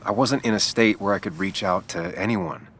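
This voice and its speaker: A man's voice: fairly low pitch, moderate pace, fairly bright tone, a little hiss or hum, lightly acted. A man playing a guarded, wry ex-journalist in a video game, speaking in a subdued, dry way. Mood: guarded, sombre. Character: brooding ex-journalist man back in his hometown, guarded, wry. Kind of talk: naturalistic video game acting.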